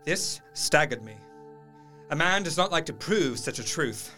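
A man's voice: on the high side, unhurried, soft background music. A man playing a proper, troubled Victorian doctor, narrating his own horror story formally and with feeling in an audio drama. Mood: dread. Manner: formal, expressive. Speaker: narrator in character.

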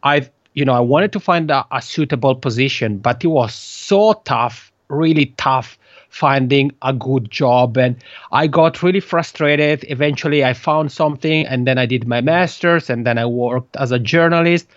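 A man's voice: mid-range voice, moderate pace, dry recording. Italian-accented man, dry muffled sound. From Italian-speaking Switzerland. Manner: hesitant, repeats words while searching for phrasing. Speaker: podcast guest. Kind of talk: conversational interview.

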